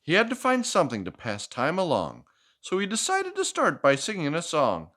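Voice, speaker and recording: A man's voice: a little high, huge pitch swings, moderate pace, fairly bright tone. A man hosting a children's storytime, reading a picture book expressively with playful, chatty asides to a child. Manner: playful, asking questions and echoing the child's answers.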